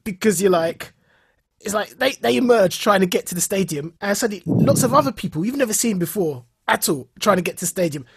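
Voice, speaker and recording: Husky man chatting, close and dry. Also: high-pitched, brisk, dry recording. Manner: animated storyteller, repeats phrases for emphasis. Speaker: podcast co-host. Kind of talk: casual chat recounting and reviewing a show.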